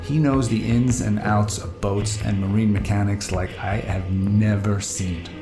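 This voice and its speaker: Low-voiced, wistful treasure hunter, roomy sound. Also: male voice, steady tone, unhurried, over music. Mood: nostalgic, enthusiastic. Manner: casual, reflective storytelling. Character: rugged, wistful male treasure hunter and boat captain. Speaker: actor in an audio drama. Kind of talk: naturalistic full-cast audio drama acting.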